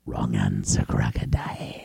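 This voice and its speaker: A male storyteller voicing a menacing, hungry lion king who speaks slowly and darkly in drawn-out, sinister phrases. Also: extremely low pitch, fairly even intonation, creaky, warm tone, breathy edge, whispered, pitched below the performer's own voice, exaggerated voice.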